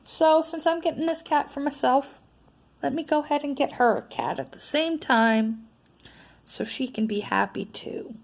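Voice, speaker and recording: A woman vlogger with a naturally loud voice, venting in a casual, frustrated rant that is full of tag questions. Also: high voice, moderate pace, vocal fry. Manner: frustrated, ranting, frequent "right?" tags.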